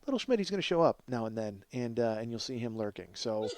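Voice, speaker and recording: A man's voice: mid-range voice, sing-song, brisk, darkish tone, dry recording. An American man hosting a comedy podcast in a casual, rambling, self-deprecating and profane monologue.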